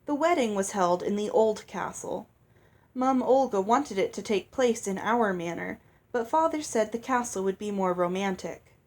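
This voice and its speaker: A woman's voice: medium pitch, lively intonation, normal speed. A woman reading a novel aloud as narrator, in a storytelling style. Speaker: audiobook reader.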